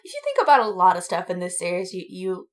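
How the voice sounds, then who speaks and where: A woman's voice: lowish voice, big pitch swings, fast talker. A woman in casual podcast chat as a co-host, informal and slangy, with an enthusiastic way of talking.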